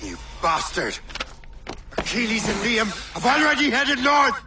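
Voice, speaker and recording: An actor playing a defiant young sea captain turned assassin hunter in a video game, blunt and given to swearing. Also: male voice, very high voice, sing-song, unhurried, noisy background, exaggerated voice. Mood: angry, bitter.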